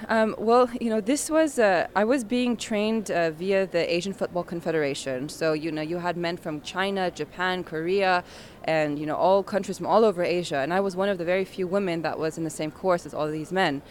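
A woman, a national team head coach, answering interview questions in a determined, energetic way with many fillers. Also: on the low side, a little fast, slight background noise. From United States.

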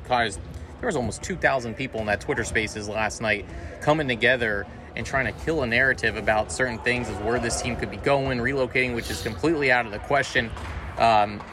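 A man hosting a sports podcast in an upbeat, conversational style. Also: mid-range voice. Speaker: podcast host, sports broadcaster. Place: sports arena before a game. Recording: clear urban ambient background noise.

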